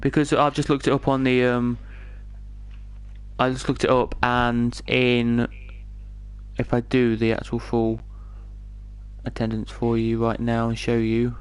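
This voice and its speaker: A man's voice: mid-range voice, monotone, measured pace, long pauses, clean tone. A man from England with an English accent, giving chatty live commentary on an online racing league. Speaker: esports race commentator.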